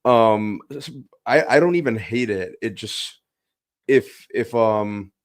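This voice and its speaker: A man with a fairly low voice chats as a panelist on a film and TV fan show. He sounds measured and casual, offering mild opinions with some hesitant phrasing. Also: lively intonation. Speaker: panelist on a pop-culture review show.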